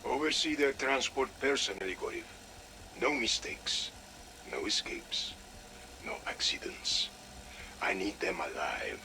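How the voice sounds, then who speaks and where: An actor playing a commanding, cold Soviet colonel, giving deliberate orders in a game audio log. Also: male voice, fairly low pitch, big pitch swings, vocal fry, noisy background, full character voice. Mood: cold, calculating. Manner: deliberate, authoritative, clipped orders.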